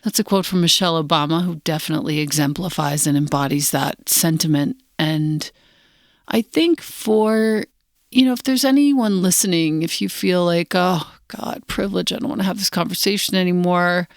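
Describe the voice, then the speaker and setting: A woman hosting a podcast interview, speaking softly and thoughtfully. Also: fairly low pitch, normal speed, no echo, studio quality. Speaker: podcast host.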